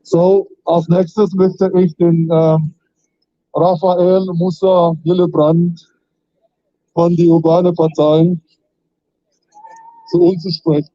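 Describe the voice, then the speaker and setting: A man's voice: sits high, flat delivery, slow pace, long pauses. A man at an outdoor rally hesitantly announcing the next speaker, a bit off-mic. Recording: dull sound, voice far from the mic.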